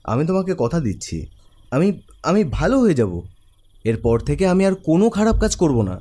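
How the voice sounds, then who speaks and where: A man playing a cocky, impatient young street thug in an animated horror cartoon. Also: a little high, a little fast. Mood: coaxing. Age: young adult. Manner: swaggering, impatient.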